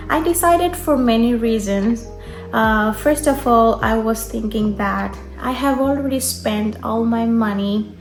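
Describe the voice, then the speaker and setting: Young woman vlogger from Bangladesh, higher voice, relaxed storytelling with fillers, roomy echo. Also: vocal fry. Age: young adult. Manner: unhurried, conversational, with frequent fillers. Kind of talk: personal story vlog.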